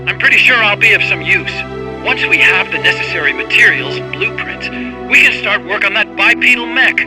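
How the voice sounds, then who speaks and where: A man's voice: high-pitched, lively intonation, brisk, over music, poor audio. An actor playing an earnest, nerdy male scientist in a video game, eager and a little awkward. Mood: upbeat, eager. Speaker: video game supporting character. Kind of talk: dramatic video game acting.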